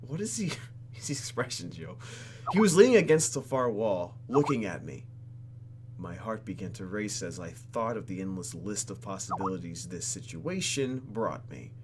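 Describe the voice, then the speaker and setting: Male gaming host, lowish voice, highly animated narration and exaggerated reactions, slight background noise. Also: moderate pace. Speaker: YouTube gamer. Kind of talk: let's play reading visual novel text aloud with reactions.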